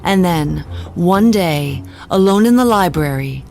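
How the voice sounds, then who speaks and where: An actress playing a haunted, genteel wife who tells her story in a measured, dramatic way in an audio drama. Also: lowish voice, expressive, creaky, darkish tone, soft background music. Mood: grave. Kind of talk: scripted audio drama narration.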